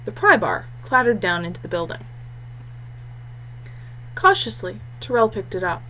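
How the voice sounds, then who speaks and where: Female audiobook narrator, mid pitch, scripted fantasy storytelling, clear background noise and hum. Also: big pitch swings, normal speed, sharp tone, low-quality recording.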